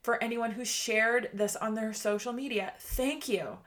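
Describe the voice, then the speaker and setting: A woman's voice: a little high, measured pace, a bit bright. A woman in her late thirties hosting a motivational podcast, chatty and bubbly, laughing often. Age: 30s. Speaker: podcast host. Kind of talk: upbeat motivational podcast monologue.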